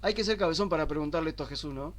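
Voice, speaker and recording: An Argentine man preaching a sermon, asking rhetorical questions and slowing down to stress the scripture he quotes. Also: on the high side. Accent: Argentine Spanish. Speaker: pastor. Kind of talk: sermon teaching.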